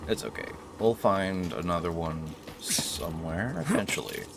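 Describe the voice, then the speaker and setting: A man's voice: low-pitched, moderate pace, gravelly, background noise. A man doing casual, joking let's-play commentary, chatting to himself and to game characters. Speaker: gaming streamer. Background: video game ambience.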